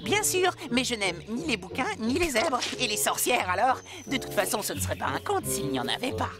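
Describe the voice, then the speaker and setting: A woman's voice: a little high, sing-song, brisk. A woman playing a confident, quippy animal companion in a French cartoon dub. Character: brisk, sharp-tongued cartoon animal companion and guide. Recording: loud music behind the voice.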